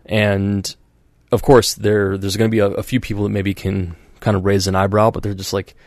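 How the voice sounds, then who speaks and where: A man's voice: fairly low pitch, level tone, normal speed, darkish tone, no echo, studio quality. A man hosting a podcast in casual chat, rambling with many fillers and restarts and acting out quoted voices. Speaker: podcast host.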